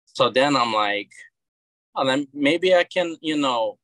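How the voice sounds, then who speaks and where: A man's voice: medium pitch, expressive, slow pace, a bit bright, no echo, rough recording. A man in his thirties from Brazil with a Brazilian Portuguese accent, a guest talking casually in an interview and often repeating words as he restarts. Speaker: guest, comic book creator.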